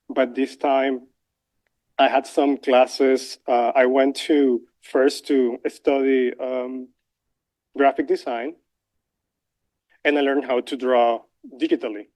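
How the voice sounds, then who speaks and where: A young man from Venezuela with a Spanish accent, telling a personal story as a lecturer, halting with many fillers and restarts. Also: mid-range voice, darkish tone.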